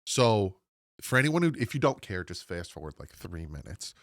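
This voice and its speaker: A man in his thirties with a lowish voice, thick and nasal from a head cold. He chats to his viewers in a loose, rambling way with quick asides. The sound is dry and close on a desk microphone. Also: very animated, a bit bright, no room sound. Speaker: sports channel host. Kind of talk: casual solo sports commentary. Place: home room.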